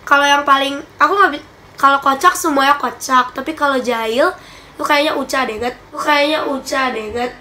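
High bright-voiced young girl, bit stuffy from a cold, chatting to camera in her room, faint hiss. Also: normal speed. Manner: chatty, giggly, animated hand gestures. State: slightly stuffy nose from a cold. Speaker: vlogger. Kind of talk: casual Q&A chat. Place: small bedroom indoors.

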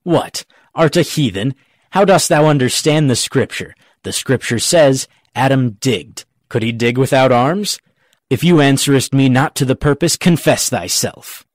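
A man's voice: mid-range voice, expressive, a little slow, dry recording, studio quality. A man playing a cocky rustic gravedigger in a Shakespeare audiobook, arguing in a pedantic, jokey way. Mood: teasing, cocky. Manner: pedantic, jokey mock-logical argument. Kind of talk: dramatic audiobook reading of Shakespeare.

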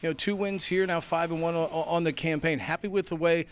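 Polished middle-aged sports interviewer. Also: male voice, fairly high pitch, steady tone, brisk. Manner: polished, long setup questions. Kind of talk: postgame interview. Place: indoors before a sponsor backdrop. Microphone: handheld mic.

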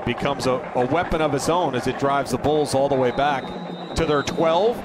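A man calling a college football game play by play, brisk and rising with excitement on big plays. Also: mid-range voice. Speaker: play-by-play announcer. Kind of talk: live football play-by-play commentary. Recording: broadcast with loud crowd and noise behind.

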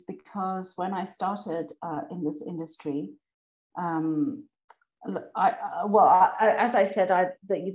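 A woman from South Africa giving reflective interview answers as a lecturer, thoughtful and halting as she rephrases her points. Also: on the low side, darkish tone, low-quality recording. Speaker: university lecturer, podcast guest.

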